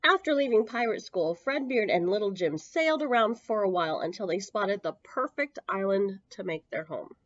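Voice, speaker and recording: A woman's voice: mid-range voice, lively intonation, moderate pace, few pauses, no room sound. A woman reads a children's story aloud in a mid-range voice. She leans on key words and stretches them out for effect. The sound is dry and a little lo-fi, with the top end dulled. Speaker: children's storyteller at a public library. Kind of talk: expressive picture-book read-aloud for young children. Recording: dull lo-fi, close dry sound.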